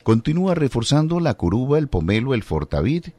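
A man reading a radio product advertisement, listing doses in a brisk, lilting way. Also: medium pitch, very animated, dark tone, dry recording. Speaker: advertisement announcer.

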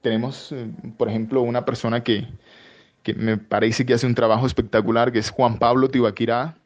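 Colombian man, voice-over artist, halting interview talk, lo-fi recording. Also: medium pitch, flat delivery. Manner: hesitant, many fillers and restarts. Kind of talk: interview answers.

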